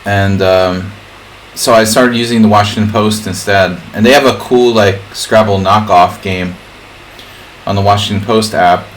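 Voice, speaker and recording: Low-voiced man rambling casually over noise. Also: steady tone, a little slow, poor audio. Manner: digressive, jokey. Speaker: livestream host, chess streamer. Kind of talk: casual rambling livestream chat. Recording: clear background noise.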